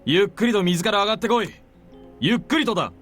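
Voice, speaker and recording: An actor playing a stern, commanding armed soldier in a visual novel game, curt in manner. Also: male voice, sits high, animated, very fast, fairly bright tone. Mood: shouted command. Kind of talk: Japanese visual novel voice acting. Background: game music.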